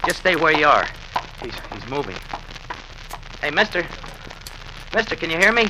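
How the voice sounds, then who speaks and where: A man's voice: a little high, sing-song, normal speed. An actor playing a weary, resentful husband in a 1940s radio drama, narrating his confession and arguing with tense exasperation. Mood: urgent, alarmed. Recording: very noisy old radio recording.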